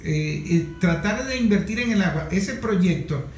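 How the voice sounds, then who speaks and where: A man with a Venezuelan accent hosting a discussion in a reflective, somewhat halting conversational way. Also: high voice, animated. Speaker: host. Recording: echoey room, faint background noise.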